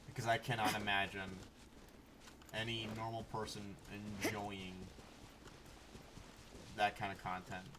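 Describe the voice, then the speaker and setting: A young man of twenty rambles casually while streaming a game, blunt and quick to swear. His voice is on the low side, picked up a little away from the mic with clear noise and clicking behind it. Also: little pitch movement. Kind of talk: casual rambling gameplay commentary. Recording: noisy, distant mic with clicks. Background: game sounds, clicking.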